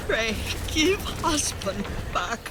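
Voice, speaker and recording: A grieving Chinese-accented wife in a game cutscene. She is high-pitched and slow, pleading in halting broken phrases. Background noise is clearly audible. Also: very animated. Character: distraught, devout immigrant wife in mourning. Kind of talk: cinematic game voice acting.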